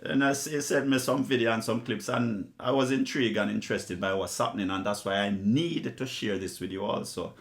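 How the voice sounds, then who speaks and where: A man's voice: lowish voice, fairly even intonation, brisk, hardly any pauses. A middle-aged man with a Jamaican accent, hosting a casual chat and telling stories. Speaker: host.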